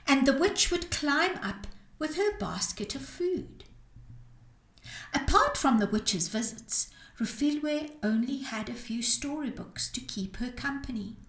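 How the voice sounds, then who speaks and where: Woman, children's storyteller, very animated pitch, slow and deliberate, expressive, echoey room. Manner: expressive, dramatic, deliberate phrasing. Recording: roomy, echoing sound.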